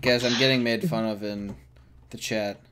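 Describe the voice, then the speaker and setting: A man's voice: on the low side, fairly even intonation, normal speed, fairly bright tone. A man hosting a casual livestream debate over voice chat, speaking in an exasperated, repetitive way. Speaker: streamer hosting a group discussion. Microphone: online voice chat.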